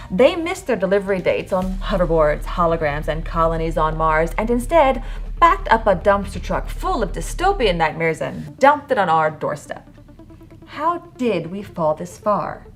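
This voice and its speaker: A woman with a fairly low voice narrates a scripted podcast monologue. Her delivery is theatrical and sardonic, leaning hard on key words for emphasis. Soft music plays behind her. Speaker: podcast host. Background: music bed.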